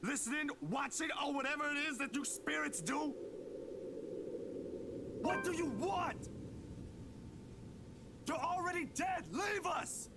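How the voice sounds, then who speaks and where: A man's voice: very high-pitched, slow pace, pauses often, exaggerated voice. A man playing an agitated, pleading young man in dramatic dialogue from a horror visual novel. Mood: desperate shouting. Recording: loud noise over game stream.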